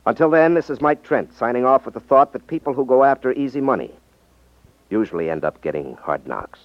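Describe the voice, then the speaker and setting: An actor playing a confident, wry ex-magician turned detective in a 1950s radio drama, explaining things with self-assurance. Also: male voice, medium pitch, lively intonation, a little fast, no echo, close to an everyday voice. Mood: addressing listeners. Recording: dull, dry 1950s radio recording.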